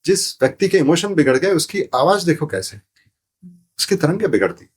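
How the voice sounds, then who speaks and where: A man's voice: on the high side, big pitch swings, normal speed, slightly rough. A man explaining spiritual ideas as a podcast guest, in a didactic way, repeating phrases and asking rhetorical questions. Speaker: podcast guest, sound therapy teacher. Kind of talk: explanatory spiritual talk in an interview.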